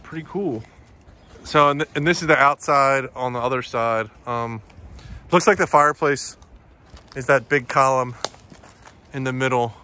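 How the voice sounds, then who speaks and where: A man narrating a hiking vlog in a casual, matter-of-fact, halting way as he walks. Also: medium pitch, sharp tone. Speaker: hiking vlogger. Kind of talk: casual walk-along narration. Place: outdoors in woods by a river. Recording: outdoor phone video with ambient noise.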